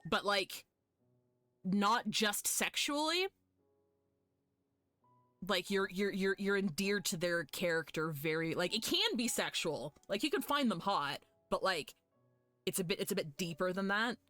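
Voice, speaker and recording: A woman hosts a live game stream at an ordinary mid pitch. She chats casually with her viewers, animated and gushing like a fan, and swears freely. Her voice sounds dry, with some music playing behind it. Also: a little slow, long pauses, dry recording. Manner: foul-mouthed. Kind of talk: casual chat with viewers while gaming.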